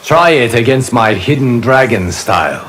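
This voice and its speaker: A man playing a calm, wise fire god and martial arts mentor in a video game, speaking formally and gravely. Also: medium pitch, normal speed, heavy background noise, lightly acted. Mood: calm, instructive. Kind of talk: video game character dialogue.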